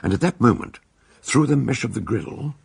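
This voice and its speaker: An actor playing a measured male narrator, recounting his adventure suspensefully in a radio play. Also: medium pitch, animated, vocal fry, breathy edge, dry recording, heavily acted. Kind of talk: dramatic storytelling in a radio play.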